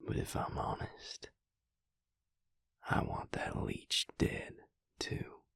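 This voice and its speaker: A man playing a protective, brooding vampire lover in an intimate audio roleplay, with a husky, breathy voice and a hushed, intense, deliberate delivery. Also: dry recording, whispered, clean studio sound. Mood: earnest, frustrated. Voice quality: husky, breathy, gravelly low voice. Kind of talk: intimate audio roleplay acting, speaking to the listener.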